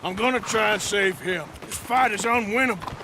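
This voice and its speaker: An actor playing a weary, blunt American outlaw gunslinger, terse and plain-spoken, in a dramatic video game. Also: male voice, sits high, normal speed, noisy background, lightly acted. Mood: urgent, determined.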